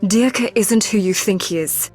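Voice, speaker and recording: A woman's voice: mid-range voice, moderate pace, darkish tone, soft background music, natural voice. An actress playing a cool, witty, knowing woman in a video game, suave and teasing. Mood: cautioning.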